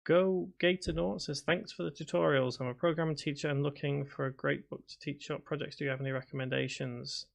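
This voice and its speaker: A man's voice: a little high, steady tone, fairly quick, gravelly, hardly any pauses. A man in his thirties from Liverpool with a Scouse accent, chatting casually on a live stream as he reads viewer comments aloud and answers them. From Liverpool, England. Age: 30s. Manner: reads chat aloud and answers, with restarts and fillers. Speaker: game development streamer.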